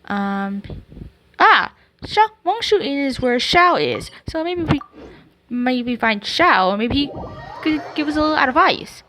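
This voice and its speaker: A woman's voice: high-pitched, sing-song, unhurried, pushed and bright, a little hiss or hum. A woman giving casual, hesitant gameplay commentary, searching for her words as she goes. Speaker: gamer, channel host.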